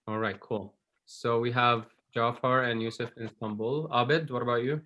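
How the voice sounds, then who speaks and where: A male English teacher talking to his class over a video call, at an everyday middle pitch. He speaks in a friendly, patient way and drops casual fillers as he goes. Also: fairly even intonation, moderate pace, bright, forceful tone, dull audio.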